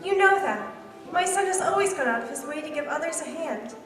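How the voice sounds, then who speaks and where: A devoted, pleading mother acted by a woman in a radio play. Her earnest voice is very high and sounds far off in a very reverberant hall. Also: lively intonation, a little fast. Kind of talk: old-time radio play acting.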